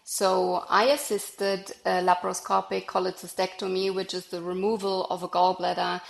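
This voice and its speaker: A woman with a German accent speaks as a podcast guest, in a conversational interview style. Her voice has some creak in it, and the room adds noticeable echo. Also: on the low side, flat delivery, normal speed.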